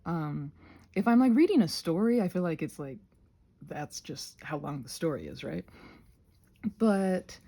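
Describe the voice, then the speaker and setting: A woman with a soft, slightly husky voice rambles casually to viewers in an ASMR craft video, halting and self-conscious, drifting into asides. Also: deep voice, expressive. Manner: halting and self-conscious, trailing off mid-thought, many asides.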